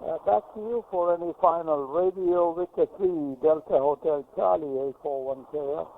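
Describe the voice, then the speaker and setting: A man chats as a radio operator in a slightly high, creaky voice. He sounds conversational and unscripted. The radio transmission is dull and somewhat noisy. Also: normal speed. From Oman. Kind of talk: unscripted radio contact chat.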